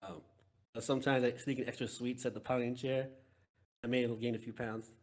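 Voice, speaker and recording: A man's voice: mid-range voice, level tone, brisk, darkish tone. A man chatting casually as a player in a tabletop role-playing game, hesitant and joking.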